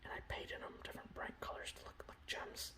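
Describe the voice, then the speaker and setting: A man softly whispering in a casual, rambling ASMR style, breathy and close to the microphone, showing off a hobby. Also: brisk. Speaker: ASMR video host. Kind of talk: ASMR, informal hobby show-and-tell.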